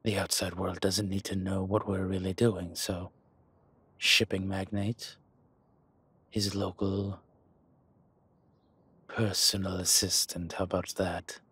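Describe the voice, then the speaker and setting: A man playing a suave, scheming dragon mob boss in an intimate audio roleplay, with measured, husky, breathy delivery and deliberate pauses. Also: low-pitched, little pitch movement, fairly bright tone, dry recording, full character voice. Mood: sly, persuasive. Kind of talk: slow, intimate scripted roleplay monologue.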